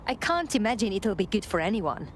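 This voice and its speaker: A woman's voice: mid-range voice, sing-song, fairly quick, a little hiss or hum, lightly acted. A woman playing a cool, determined resistance operative with a terse, resolute manner in a video game.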